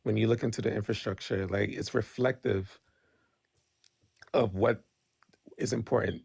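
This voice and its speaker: Gravelly low-voiced man, blunt and candid. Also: fairly even intonation, normal speed, darkish tone, dry recording. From Nigeria. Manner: candid, blunt, frequent restarts and "right?" tags. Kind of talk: casual debate chat.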